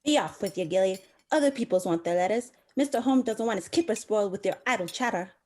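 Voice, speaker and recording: A woman playing a gossipy, sharp-tongued village housekeeper in a radio play, brisk and folksy. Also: medium pitch, animated, natural voice. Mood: brisk, tart.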